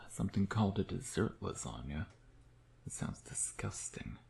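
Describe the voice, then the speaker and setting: Man acting as male vampire, ASMR roleplay, low pitch, dark mellow tone, smug, flirtatious, intimate murmur, breathy asides. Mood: playful, dry. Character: teasing, smug male vampire doting on his bitten prey.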